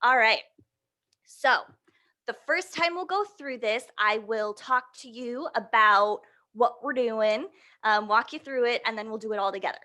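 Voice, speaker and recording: A woman hosting a storytime for young children, reading and leading action rhymes in a playful, encouraging, animated way. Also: fairly high pitch, measured pace. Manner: playful, encouraging, checks in with listeners.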